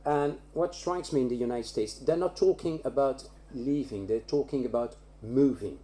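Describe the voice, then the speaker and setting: Man on a uni panel, mellow middle-pitched voice, stresses points by repeating them. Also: normal speed. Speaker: academic panelist. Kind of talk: academic round-table discussion.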